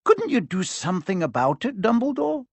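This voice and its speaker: A stern older witch speaking in a much higher, altered voice, clipped and brisk with hushed urgency, as a man puts her on. The sound is dry and close. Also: big pitch swings, husky, no room sound, exaggerated voice. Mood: anxious whisper.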